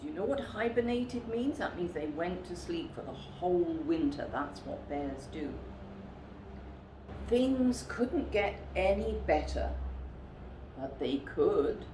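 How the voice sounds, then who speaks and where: A woman storyteller narrating a children's picture book in a dramatic, measured, expressive way. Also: fairly low pitch, reverberant, a little hiss or hum, poor audio.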